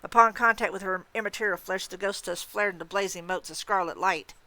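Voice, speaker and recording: A woman reading a story aloud in dramatic, expressive, suspenseful narration. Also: mid-range voice, brisk, dry recording. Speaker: story reader. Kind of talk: dramatic audiobook-style narration.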